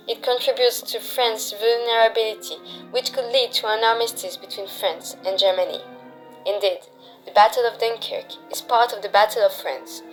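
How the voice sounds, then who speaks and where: A woman's voice: mid-range voice, rough recording. A woman reads scripted documentary narration in the manner of a newsreel. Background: background music.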